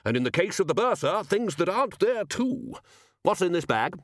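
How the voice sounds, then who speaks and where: A man voicing a hearty, blustering old wizard in a storybook reading, with a booming, interrogating manner. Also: very animated, normal speed, no room sound, studio quality. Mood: brisk, curious.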